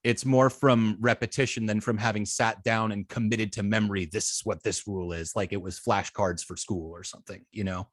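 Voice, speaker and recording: Halting male instructor, dry close sound. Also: fairly low pitch, steady tone, no room sound. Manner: halting, hesitant, many long filled pauses and false starts. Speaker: web design instructor. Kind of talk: informal class Q&A, explaining.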